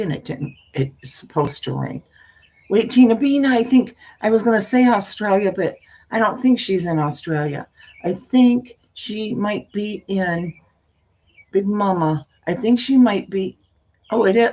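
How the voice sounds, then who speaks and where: An older woman with a husky voice and a folksy, meandering manner, talking as a storyteller hosting her own live stream. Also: lowish voice, a little mellow, poor audio. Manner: folksy, meandering, often trailing off and repeating. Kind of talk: casual rambling chat with viewers; reading a spooky story aloud.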